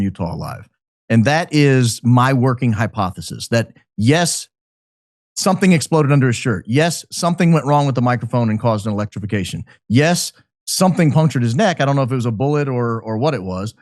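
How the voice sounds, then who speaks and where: A man speaking as a podcast guest, giving speculative commentary in an emphatic, deliberate way. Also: medium pitch, lively intonation, a little mellow, dry recording, clean studio sound. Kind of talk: speculative commentary in a talk show.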